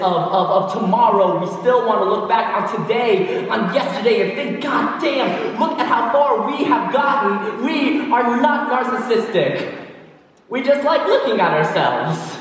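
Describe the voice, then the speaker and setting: A man's voice: very high-pitched, distant mic. Young man performing spoken word in an echoey hall. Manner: rhythmic, emphatic delivery with pointed pauses; relaxed storytelling between poems. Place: large hall, on stage.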